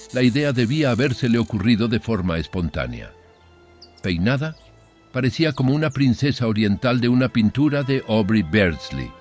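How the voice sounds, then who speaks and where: A man's voice: medium pitch, darkish tone. An actor playing a bitter, brooding older father, telling a horror story in a solemn, ominous, literary manner. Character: brooding, bitter older father recounting his son's marriage. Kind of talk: dramatic horror audiobook narration.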